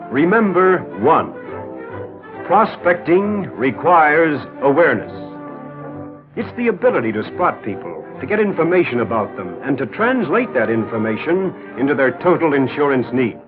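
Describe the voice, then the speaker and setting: An upbeat old-time sales coach narrator, swingy emphatic delivery, tinny 1940s film sound. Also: male voice, fairly high pitch. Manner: emphatic, instructive, measured phrasing. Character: confident, encouraging mentor voice coaching an insurance salesman. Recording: narrow-band 1940s film soundtrack with music.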